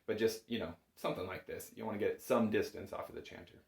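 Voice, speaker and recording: A man's voice: on the low side, fairly even intonation, fast, creaky. A man giving casual, reassuring step-by-step instruction as a music teacher, recorded indoors in a small room. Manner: reassuring, informal, explains step by step. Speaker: bagpipe instructor. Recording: roomy, echoing small room.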